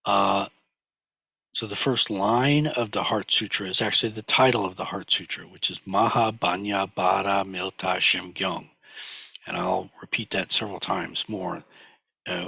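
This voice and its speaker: Slightly hoarse man lecturing over slides. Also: sits low, fairly even intonation, bright, forceful tone, dry recording. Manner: halting, many fillers and restarts. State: recovering from a cold, slightly hoarse. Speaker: teacher of a sutra class.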